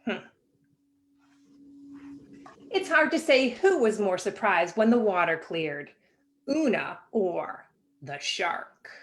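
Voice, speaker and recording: A woman reading a children's picture book aloud in an expressive, animated way with dramatic pauses. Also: mid-range voice. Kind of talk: expressive children's storybook narration.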